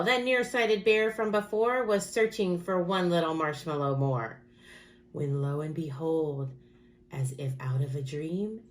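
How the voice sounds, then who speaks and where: A woman teacher reading a rhyming picture book aloud to children in an expressive, rhythmic way with dramatic emphasis. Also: low voice, a little hiss or hum.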